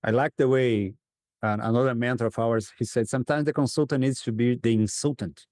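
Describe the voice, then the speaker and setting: A man's voice: medium pitch, fairly even intonation, a little fast, a little mellow, dry recording, studio quality. A man, a guest facilitator in a conversational interview, earnestly telling stories and acting out his clients' replies, laughing often.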